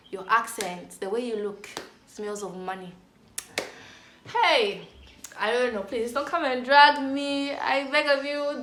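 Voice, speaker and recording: A young woman from Zambia talks casually to camera, rambling through her points with plenty of fillers and false starts. Her voice sits at an ordinary middle pitch. The room rings with echo, and music plays clearly behind her. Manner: chatty, rambling with many fillers and restarts. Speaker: vlogger. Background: clear background music.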